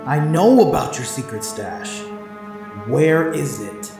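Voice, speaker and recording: An actor playing a menacing masked villain in an audio drama, gravelly and theatrical in his threats. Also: on the high side, big pitch swings, full character voice. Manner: slow, deliberate, theatrical threats. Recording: echoey, with loud music underneath. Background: dramatic music.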